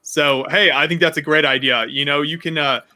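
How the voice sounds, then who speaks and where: A man's voice: a little high, fairly even intonation, brisk, sharp tone. A man co-hosting a live video-call stream, easygoing and friendly, with lots of "uh" fillers and light teasing. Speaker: co-host. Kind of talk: casual live-stream chat.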